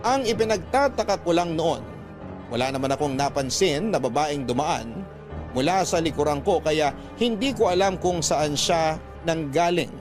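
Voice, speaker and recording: A man acting as a young letter sender narrating in the first person for a Filipino radio drama. His voice is fairly high and earnest, telling an eerie tale over clear background music. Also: lively intonation, moderate pace, natural voice. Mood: reflective.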